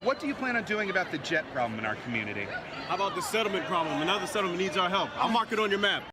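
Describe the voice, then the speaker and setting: Brief: man playing a fast-talking, eager militia leader; outdoor clip, heavy noise. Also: sits high, big pitch swings. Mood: earnest. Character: earnest man cosplaying a militia leader asking for help. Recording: outdoor clip replayed on stream, loud street noise.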